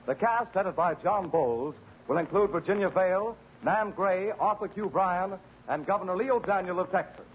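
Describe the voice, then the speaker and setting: A man's voice: sits high, normal speed. A man reading a formal network sign-off and a preview of next week's program. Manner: smooth, formal announcer delivery. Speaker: network announcer. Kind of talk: formal radio announcement. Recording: tinny 1940s radio broadcast with faint hiss.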